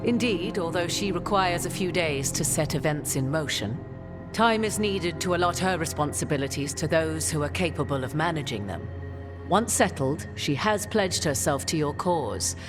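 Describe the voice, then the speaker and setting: A woman playing a grave, cryptic sorceress in a video game, speaking in formal, archaic, measured phrases. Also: low voice, animated, music in the background. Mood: solemn. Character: grave, cryptic sorceress ally with ancient memories. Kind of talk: video game cutscene acting.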